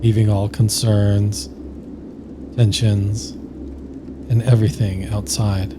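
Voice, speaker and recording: Male sleep-meditation narrator. Flat, unhurried, soothing read with long pauses, over clearly audible ambient noise. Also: on the low side. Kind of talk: scripted guided sleep meditation.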